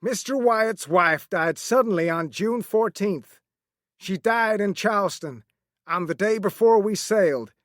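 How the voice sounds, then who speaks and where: A man voicing a stern male sea captain who shouts his orders to the passengers. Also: high voice, measured pace, no echo, studio quality, pitched above the performer's own voice. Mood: grave recounting. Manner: shouting orders over a storm.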